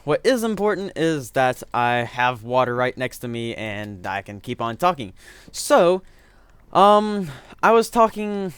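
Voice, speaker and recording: A man's voice: medium pitch, very animated, measured pace, fairly bright tone, smooth, no echo. A man giving rambling, self-correcting let's-play commentary, his voice strained from getting sick. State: voice strained from illness. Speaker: gaming commentator.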